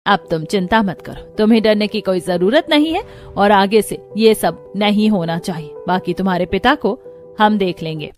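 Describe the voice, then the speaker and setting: A woman's voice: medium pitch, moderate pace, faint music behind, natural voice. A woman playing an imperious, protective, wealthy mother-in-law in a story, with a commanding manner. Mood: reassuring.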